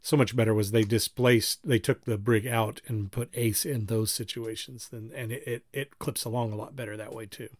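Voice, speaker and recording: A man's voice: fairly low pitch, steady tone, moderate pace, few pauses, dry recording. Talkative man in casual critical discussion. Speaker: podcast panelist reviewing fan productions.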